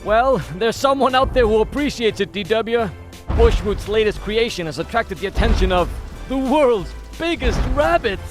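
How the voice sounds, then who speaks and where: An earnest, none-too-bright cartoon sidekick, played by a man. The voice sits high and moves in lively swings, loyal and naive, with music behind it. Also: moderate pace. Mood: earnest alarm. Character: loyal, earnest, dim-witted big sidekick pilot. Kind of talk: cartoon comic dub acting.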